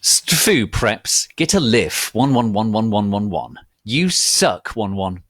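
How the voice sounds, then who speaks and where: A man's voice: fairly low pitch, big pitch swings, slow, fairly bright tone, no room sound, studio quality, heavily acted. A man voicing a petulant, brash teenage girl author ranting emphatically at readers in text-speak. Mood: bratty, scornful. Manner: bratty, emphatic, reading text-speak aloud.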